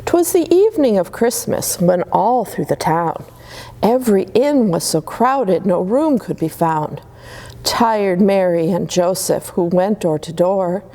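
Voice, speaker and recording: A woman reading Christmas stories aloud to children in a gentle, soothing way. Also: on the low side, expressive, slow pace. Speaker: storyteller.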